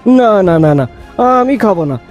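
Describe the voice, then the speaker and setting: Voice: mid-range voice, animated, unhurried, a little mellow, close to an everyday voice. A performer voicing an earnest, pious young boy in a moral cartoon. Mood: firm refusal. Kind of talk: cartoon voice acting. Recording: music behind the voice. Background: background music.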